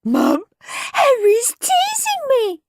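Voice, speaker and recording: A woman's voice: very high-pitched, wildly swooping pitch, drawn-out words, a bit bright, no echo, studio quality, voice pushed up, heavily acted. A woman voicing a whiny, tearful little younger brother who wails and shrieks his protests in a children's storybook. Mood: tearful wailing.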